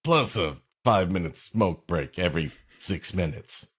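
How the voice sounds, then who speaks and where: Male comic co-host: low, animated voice, quick to laugh and curse. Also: husky. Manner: joking, laughs often, swears casually. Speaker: podcast co-host. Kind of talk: casual comedic banter.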